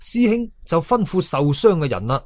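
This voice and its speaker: A man's voice: a little high, big pitch swings, normal speed, a little mellow, slight background noise. A man with a Hong Kong Cantonese accent telling a horror story in a suspenseful, colloquial, dramatic style. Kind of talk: dramatic scripted horror narration.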